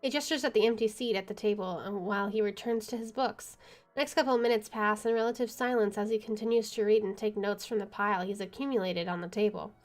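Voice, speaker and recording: A woman's voice: mid-range voice, fairly even intonation, fast, soft background music. A woman streaming a video game, reading its story narration aloud and adding casual asides.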